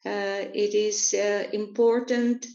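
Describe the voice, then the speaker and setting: Woman, interpreter, very slow, flat delivery, hesitant, roomy dull lo-fi sound. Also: mid-range voice. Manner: hesitant, many filler sounds.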